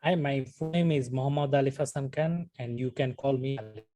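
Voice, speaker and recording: A man's voice: medium pitch, fairly quick, dark tone. A young man with a Bangladeshi accent, answering a mock speaking exam with many fillers and restarts. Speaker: test candidate.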